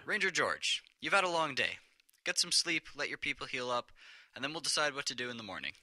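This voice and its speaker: Man acting a brisk, razor-bright officious boss. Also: mid-range voice, big pitch swings, dry recording, full character voice. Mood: stern, dismissive. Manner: officious, curt, condescending. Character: brisk, callous male district office boss, officious and stern. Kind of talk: audio drama acting.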